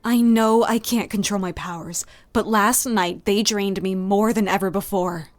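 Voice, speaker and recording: Slow-speaking anxious young healer acted by a woman. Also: mid-range voice, steady tone, no echo, lightly acted. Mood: uneasy. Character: anxious young female healer, weakened and confused. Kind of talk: dark fantasy audiobook dialogue.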